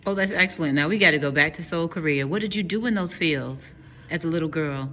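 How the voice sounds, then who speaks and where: Woman, interview host, low voice, curious, direct questions. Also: a little fast, pushed and bright, slight background noise.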